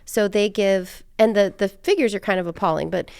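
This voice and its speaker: A woman's voice: mid-range voice, moderate pace, gravelly, darkish tone, clear, resonant tone, no echo, clean studio sound. An American woman hosting a podcast in a casual, chatty, informal conversational style. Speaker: podcast host.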